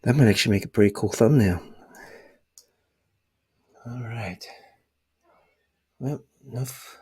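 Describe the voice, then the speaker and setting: A man speaks in a very husky, breathy murmur that often slips into a whisper. His pace is slow and unhurried, with gravelly creak in the voice. He sounds like he is thinking aloud in a soft ASMR roleplay. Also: medium pitch. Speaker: ASMR video creator. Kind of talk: ASMR roleplay, soft-spoken personal rambling.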